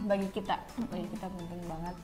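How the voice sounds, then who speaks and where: A woman's voice: lowish voice, fairly even intonation, normal speed, warm tone. A young woman with a Sundanese accent, co-hosting a casual chat in an informal conversational style. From Sunda, West Java, Indonesia. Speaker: co-host of a language vlog. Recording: music behind the voice.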